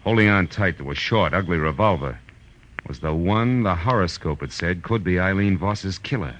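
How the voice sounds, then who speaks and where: A man's voice: sits low, expressive, moderate pace, fairly bright tone, highs cut off, slight background noise. A man playing a tough, wisecracking private eye in a clipped, sardonic, slangy 1940s radio detective style. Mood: tense narration. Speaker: radio drama lead actor. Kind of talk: hard-boiled 1940s radio detective acting. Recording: old radio broadcast with music.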